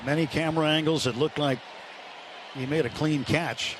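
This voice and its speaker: A man's voice: fairly high pitch, fairly even intonation, normal speed. An American man calling live baseball play-by-play for a radio broadcast. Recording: radio broadcast over clear stadium noise. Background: ballpark ambience and crowd noise.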